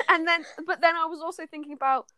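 A woman's voice: extremely high pitch, brisk, sharp tone, no echo, clean studio sound. A young woman co-hosting a podcast in a gushing, slangy, casual chat with friends. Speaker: podcast co-host.

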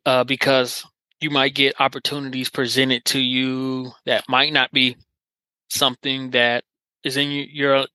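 A man's voice: medium pitch, little pitch movement, a little slow, sharp tone, smooth, dry recording, rough recording. A man hosting a podcast, talking in an earnest, encouraging way with lots of filler phrases. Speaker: podcast host. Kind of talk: casual interview chat.

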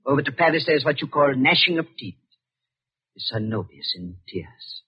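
An actor playing an older German doctor, weary and unctuous, with halting, mournful phrasing in 1940s radio drama style. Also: male voice, medium pitch, big pitch swings, slightly rough. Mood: regretful, insistent. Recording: muffled vintage 1940s radio broadcast.

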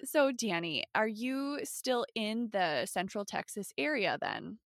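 Minnesotan woman, podcast host; gravelly, low-ish, dry close mic. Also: sing-song, slow pace, no echo. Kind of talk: casual podcast interview.

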